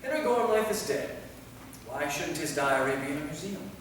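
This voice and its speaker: A man's voice: a little high, lively intonation, normal speed, natural voice. A man playing a weary, fearful, bookish six-hundred-year-old immortal in a staged play reading. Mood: resolved. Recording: very echoey hall, far mic, faint noise.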